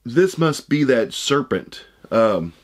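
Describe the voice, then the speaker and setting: A man in his thirties with a hoarse voice he says he is losing, chatting casually as he unboxes items at a desk indoors. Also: mid-range voice, big pitch swings, slow. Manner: chatty, rambling, upbeat. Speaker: unboxing channel host. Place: room with shelves of shoe boxes, indoors.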